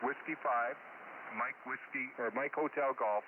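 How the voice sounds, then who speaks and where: A man's voice: mid-range voice, unhurried. Male radio operator, folksy and rambling, over a thin narrow-band link with heavy static. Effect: two-way radio transmission. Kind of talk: casual ham radio chat.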